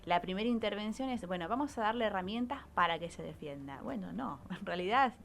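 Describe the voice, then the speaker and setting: A woman's voice: medium pitch, animated, fairly quick, vocal fry. An Argentine woman explaining things conversationally as a psychologist on the radio, often adding short tag questions to seek agreement. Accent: Argentine Spanish. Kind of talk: conversational explanatory interview talk. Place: radio studio.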